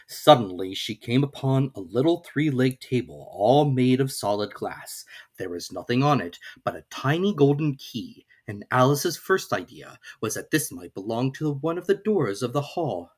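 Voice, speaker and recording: Storybook narrator reading a kids classic, super expressive, voice goes up and down a lot. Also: mid-range voice, moderate pace, slightly rough. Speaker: audiobook narrator.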